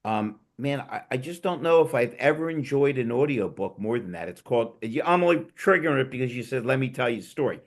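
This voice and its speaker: Male host, mid-pitched, gravelly, chatty storyteller on a remote call. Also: expressive, a little fast, hardly any pauses. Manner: rambling, enthusiastic storyteller with restarts. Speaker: podcast host. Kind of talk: casual panel chat.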